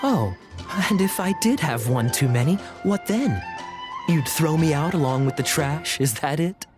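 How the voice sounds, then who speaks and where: A man's voice: fairly high pitch, animated, unhurried. An actor playing a sly young nobleman knight who needles his brother with sarcasm. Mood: teasing. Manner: mocking, sarcastic. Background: game music.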